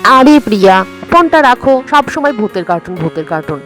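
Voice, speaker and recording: একজন নারী বাচ্চাদের কার্টুন গল্পে এক যত্নশীল অথচ কড়া, বকুনি দেওয়া মায়ের চরিত্র করছেন।. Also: female voice, a little high, sing-song, fairly quick, fairly bright tone, soft background music. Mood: scolding. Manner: nagging, commanding. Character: caring but strict, scolding mother. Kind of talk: children's cartoon story acting.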